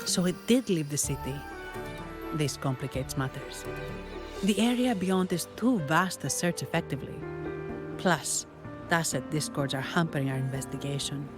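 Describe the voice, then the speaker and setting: An actress voicing a composed, strategic young noblewoman in a video game, speaking in a calm, measured, commanding way. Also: low voice, close to an everyday voice. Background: game music.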